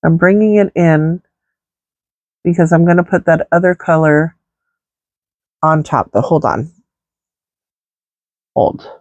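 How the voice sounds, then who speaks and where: A woman's voice: low voice, steady tone, slow pace, pauses often, a little mellow, no echo, muffled recording. A woman with a stuffy, congested nose chatting casually through a makeup tutorial, rambling with many fillers. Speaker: makeup vlogger.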